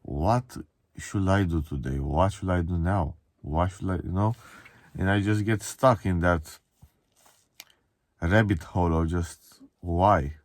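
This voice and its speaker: Male vlogger, twenty, Romanian accent. Dark, warm tone, dry close sound, candid and introspective. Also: sits low, no room sound. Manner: candid, reflective, swearing. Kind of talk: casual confessional monologue to camera.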